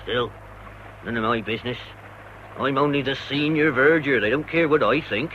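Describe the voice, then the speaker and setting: An actor playing a blunt, grumbling English working-class senior verger in a British radio drama, speaking in clipped sentences. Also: male voice, medium pitch, moderate pace, dull audio, a little hiss or hum, lightly acted. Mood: blunt, disapproving.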